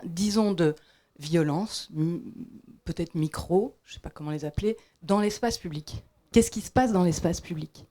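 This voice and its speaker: Low-voiced woman, halting and reflective. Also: dark tone. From Belgium. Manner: halting, reflective, many restarts. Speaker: audience member. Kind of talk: question from the floor.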